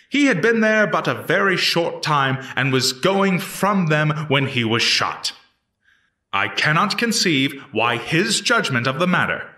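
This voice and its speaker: Man acting as slow, emphatic colonial prosecutor. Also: a little high, pushed and bright, heavily acted. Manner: deliberate, emphatic, declamatory. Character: earnest 18th-century male prosecutor addressing a jury. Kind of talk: formal courtroom oratory, historical reenactment. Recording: echoey room, distant microphone.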